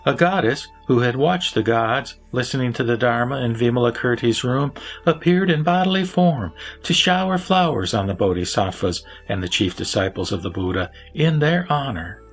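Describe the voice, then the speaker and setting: A man's voice: mid-range voice, expressive, moderate pace, hardly any pauses, faint music behind. A man narrating scripture from a script in a solemn, formal manner.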